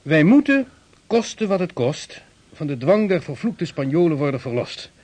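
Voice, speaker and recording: A man's voice: a little high, sing-song, normal speed, darkish tone. An actor playing a formal, authoritative statesman in a period radio drama. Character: stately statesman of a young republic, adult man.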